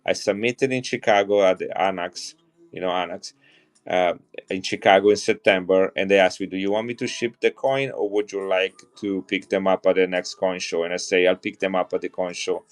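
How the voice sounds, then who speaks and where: A man's voice: low-pitched, fairly even intonation, brisk. Chatty Italian man selling coins live. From Italy. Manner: chatty, enthusiastic, talks to viewers directly. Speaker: coin seller on a live stream. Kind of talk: casual live-selling chat.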